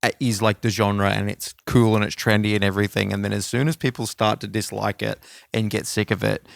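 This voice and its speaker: A man co-hosting a podcast, chatting casually and giving animated opinions with some casual swearing. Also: fairly low pitch, a little fast, gravelly, dry recording, clean studio sound. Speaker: podcast co-host. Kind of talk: casual music chat.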